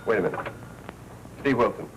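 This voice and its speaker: An American actor playing a brisk, wisecracking newspaper editor in a 1940s noir film, clipped and commanding. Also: male voice, close to an everyday voice. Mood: brisk, decisive. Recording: muffled 1940s film soundtrack with hiss.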